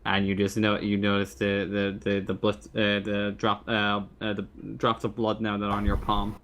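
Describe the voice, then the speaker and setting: Low-voiced man running a tabletop game. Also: completely flat delivery, a bit bright, a little hiss or hum. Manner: halting, repeats and rebuilds phrases. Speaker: game master. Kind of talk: improvised narration of a tabletop roleplaying game.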